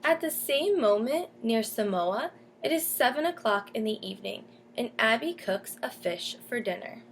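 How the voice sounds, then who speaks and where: A woman teacher reading a picture book aloud to children, pausing to explain and ask questions. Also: fairly high pitch, expressive, gravelly, slight background noise. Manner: explains and asks questions as if to young listeners. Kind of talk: storybook read-aloud with comments to children.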